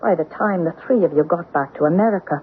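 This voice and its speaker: An actress playing an older Irish woman who gravely tells family secrets in deliberate, lilting radio-drama storytelling. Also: on the low side, lightly acted. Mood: confiding. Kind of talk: old-time radio drama acting. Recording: tinny, noisy old radio broadcast.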